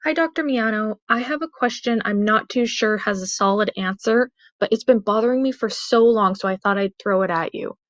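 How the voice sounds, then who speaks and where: A woman's voice: medium pitch, normal speed, few pauses, no echo. A woman leaving a casual question as a viewer on a voicemail recording. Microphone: phone voicemail. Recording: dull, lo-fi, dry voicemail.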